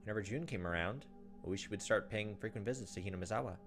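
A man's voice: fairly low pitch, quick pace. A man in his thirties narrating a visual novel aloud as a let's play host. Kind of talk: narrated let's play, dramatic reading with casual asides. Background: soft game music.

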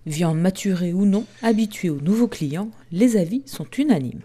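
A woman reporter reading a scripted voice-over for a local news piece in a measured way. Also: lowish voice, lively intonation, warm tone. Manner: measured, read-out delivery.

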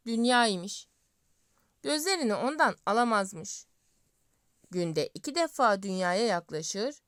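A woman storyteller narrating a scripted fairy tale in deliberate phrases with pauses. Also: medium pitch, fairly bright tone, dry recording, studio quality.

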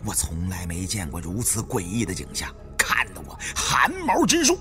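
A man's voice: deep voice, wildly swooping pitch, normal speed. A man narrating a first-person adventure audiobook in a dramatic, suspenseful, theatrical manner. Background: faint background music.

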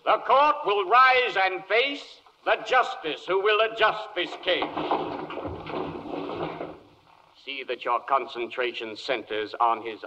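An officious old-time radio court clerk, declaiming with great ceremony. The voice is high and piercingly bright, ringing with long reverb on a dull 1940s recording. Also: male voice, slight background noise, heavily acted. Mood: ceremonious. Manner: declamatory, formal. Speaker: actor playing a court clerk. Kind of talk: 1940s radio comedy acting, chanted proclamation.

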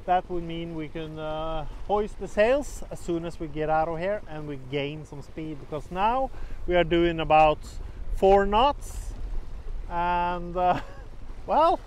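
A man in his forties, vlogging to camera on a sailboat, talking in a relaxed, chatty way with many fillers. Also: a little high, slow, slight background noise. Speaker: sailing vlogger. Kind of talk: casual vlog talk to camera. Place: sailboat on a fjord, outdoors, then in the cabin. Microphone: camera held at arm's length, then camera on a shelf.

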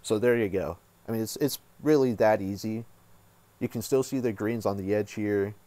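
A man hosting a casual software tutorial, talking informally and thinking aloud as he works. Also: lowish voice, normal speed, no room sound. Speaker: tutorial host.

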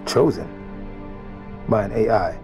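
Stoic army commander in a video game, clipped and lowish, score playing under. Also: male voice, slow pace, a little mellow. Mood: terse, guarded. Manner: terse, clipped military speech. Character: stoic, gruff male military commander. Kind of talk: video game cutscene acting. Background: game music.

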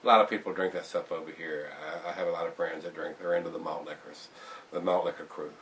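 A middle-aged man chats through a beer review at home in a relaxed, folksy way, often calling viewers guys. His voice sits fairly low. The camera mic is set back a bit, so he sounds slightly distant. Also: quick pace, slight background noise. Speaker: beer reviewer, show host. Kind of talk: casual beer review vlog. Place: home room with glass shelves, indoors. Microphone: camera on tripod across the table.

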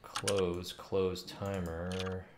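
A man's voice: low-pitched, little pitch movement, very slow. A man in his thirties thinks aloud while coding, muttering in short fragments. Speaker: game developer streaming coding. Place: small room at home. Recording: roomy, noisy, keyboard clicks. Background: keyboard typing and mouse clicks.

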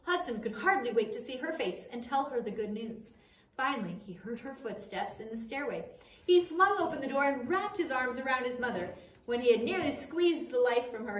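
Female storyteller, middle-aged, expressive read-aloud delivery; very roomy classroom sound, slightly distant mic. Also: high-pitched, moderate pace. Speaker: teacher reading a book aloud. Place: small indoor classroom. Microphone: camera across a table.

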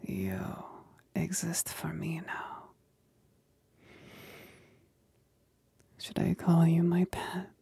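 Woman acting demon companion, ASMR whisper, low and mellow, possessive, condescendingly sweet, coolly commanding, close dry sound. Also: sing-song, full character voice. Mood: possessive, smug. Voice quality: breathy, husky whisper. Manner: slow, deliberate, condescendingly sweet.

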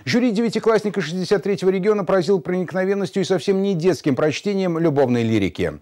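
A middle-aged man reading the news as a studio anchor, in a brisk, formal delivery. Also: high voice, lively intonation, darkish tone. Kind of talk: read news bulletin.

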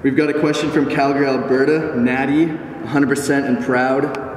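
A young man with an ordinary mid-range voice reads out viewer questions and answers them in an upbeat, plain way. The room rings with echo, and a steady air-conditioning hum sits behind him. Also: mid-range voice, moderate pace. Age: 20s. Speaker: fitness YouTuber, co-host. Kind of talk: casual Q&A vlog. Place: large indoor gym. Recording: echoey gym with background hum.

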